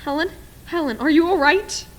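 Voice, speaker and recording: Very noisy, high-voiced concerned friend played by a woman. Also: moderate pace, close to an everyday voice. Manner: questioning, concerned. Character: concerned, caring female friend. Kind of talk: radio play acting. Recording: very noisy recording with clicks.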